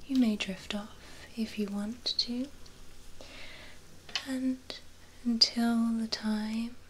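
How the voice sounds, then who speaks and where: A young woman in her twenties whispers softly and attentively up close, role-playing a courteous vampire salon attendant in ASMR style. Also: medium pitch, poor audio. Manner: soft, unhurried, attentive whisper. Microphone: close binaural microphone. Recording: close binaural whisper with faint hiss.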